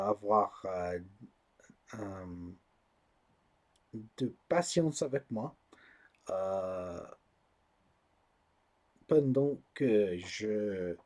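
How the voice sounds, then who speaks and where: A man speaking French as a second language, hosting his own vlog, hesitant and searching for words with many pauses and fillers. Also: lowish voice, darkish tone. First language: not French. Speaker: channel host. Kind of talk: casual vlog talk to camera.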